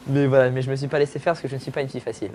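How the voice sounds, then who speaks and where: A man's voice: mid-range voice, fairly even intonation, racing, background noise. A young man, a comedian guest, chatting jokingly in an interview, teasing and self-mocking while lying back.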